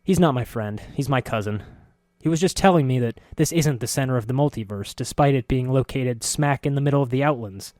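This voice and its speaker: A man reading a story voices a curious, sharp-spoken middle-aged woman who talks in a quick, insistent way. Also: mid-range voice, no room sound, studio quality, lightly acted. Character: curious sharp-spoken woman, neither young nor old, newcomer.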